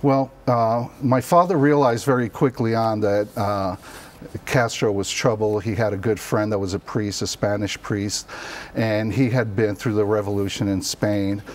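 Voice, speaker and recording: Low-voiced middle-aged Cuban-born man telling stories. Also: steady tone, moderate pace, darkish tone. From born in Cuba, raised in the New York area from childhood. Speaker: author, interview guest. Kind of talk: casual personal storytelling in an interview. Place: TV studio.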